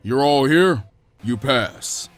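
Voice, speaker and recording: A man acts out a stern, gruff proctor from an adventure story. He speaks in clipped, commanding lines with a darkly amused edge, over faint music. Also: medium pitch, lively intonation, takes it slowly.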